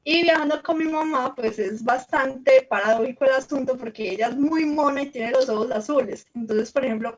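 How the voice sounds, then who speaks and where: A Colombian woman with a somewhat high voice recounts her travels in an easy, chatty way, full of small fillers. The sound is muffled and low-fidelity. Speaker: journalist guest. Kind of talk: conversational storytelling.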